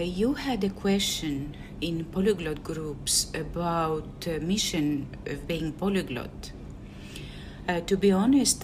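A woman's voice: lowish voice, background noise. A woman with an Estonian accent, a native Estonian speaker, hesitantly giving a short personal message with many filler sounds. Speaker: guest speaker.

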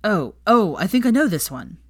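A woman's voice: medium pitch, very animated, moderate pace, slightly rough, dry recording, studio quality, pitched above the performer's own voice. A woman voicing an exuberant, cheeky young male wizard out partying in a story reading, playful and brash. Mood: excited.

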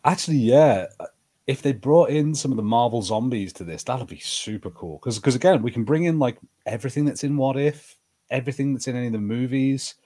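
A man's voice: medium pitch, fairly quick, warm tone. A man co-hosting a podcast in casual, bantering conversation about pop culture. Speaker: podcast host.

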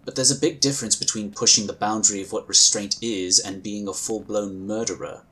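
An actor playing a calm, courteous psionic inquisitor in a sci-fi audio drama, with measured, formal phrasing. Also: male voice, fairly low pitch, slightly rough, rough recording. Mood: thoughtful, reasoning.

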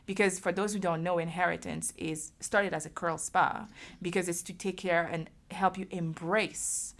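A woman's voice: on the low side, steady tone, normal speed. A woman hosting an interview, asking curious and engaged questions. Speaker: host, interviewer. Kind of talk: conversational interview.

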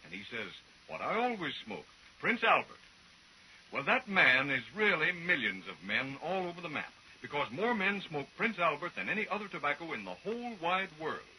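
Forceful, animated radio pitchman. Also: normal speed. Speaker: advertising announcer. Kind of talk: storytelling 1940s radio commercial. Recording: faintly noisy vintage radio recording.

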